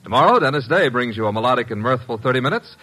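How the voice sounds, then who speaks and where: A man's voice: medium pitch, lively intonation, fast talker, pushed and bright, poor audio. A man reading scripted credits and promos as a polished, formal vintage network radio announcer. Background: music.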